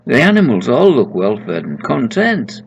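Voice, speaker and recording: A man's voice: mid-range voice, huge pitch swings, moderate pace, dull audio, slight background noise, voice pushed up. A man voicing a kindly, practical woman who escorts souls to heaven in a story. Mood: kind, brisk.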